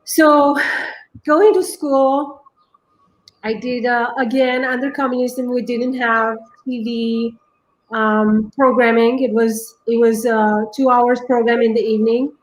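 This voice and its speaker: A woman in her forties from Romania with a Romanian accent, telling her life story as a guest in an earnest, halting way. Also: a little high, steady tone, echoey room, low-quality recording. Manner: earnest, halting, many restarts. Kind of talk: personal storytelling.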